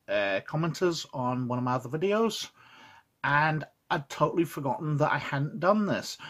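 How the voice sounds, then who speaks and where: A man with a head cold, sniffly, hosting a casual review of an old roleplaying game book and reading its text aloud, with chatty asides and frequent "uh"s. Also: fairly high pitch. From Scotland.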